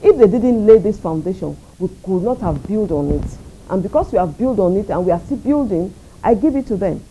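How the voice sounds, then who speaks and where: A Nigerian woman speaking as a radio station executive in an interview, measured, earnest and proud. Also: medium pitch, lively intonation, dark tone, slight background noise, rough recording. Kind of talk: interview answers.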